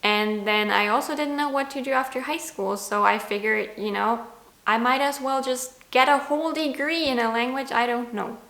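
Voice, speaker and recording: A woman's voice: on the high side, moderate pace, fairly bright tone. Chatty German woman in an echoey room. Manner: chatty, candid, self-deprecating. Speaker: language vlogger. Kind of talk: casual talking-to-camera vlog.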